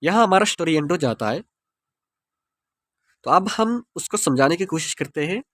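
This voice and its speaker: A man's voice: a little high, expressive, moderate pace, a bit bright, no echo. A man with an Indian accent, a Hindi speaker, teaching English by explaining a story line by line and spelling words out letter by letter.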